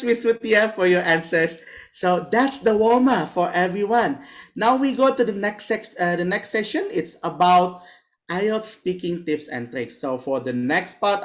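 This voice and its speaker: A man's voice: high voice, fairly bright tone. A man moderating an online webinar over a video call mic, politely thanking speakers and handing over to the next session.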